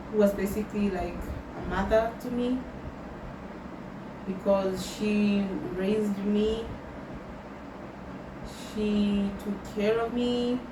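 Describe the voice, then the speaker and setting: A young woman speaks in a reflective personal vlog, slow and thoughtful, with Swahili words slipped into her English. Her voice sits in the middle of the range for a woman. The recording is roomy and distant, with loud background noise. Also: medium pitch. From Tanzania. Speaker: vlogger.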